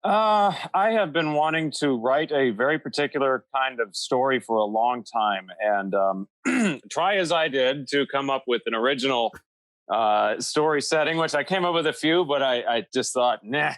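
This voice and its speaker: A man's voice: mid-range voice, normal speed, fairly bright tone, dry recording, rough recording. A man talking casually as a podcast guest, thinking aloud in a meandering, hesitant and modest way. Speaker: podcast guest, audio drama creator.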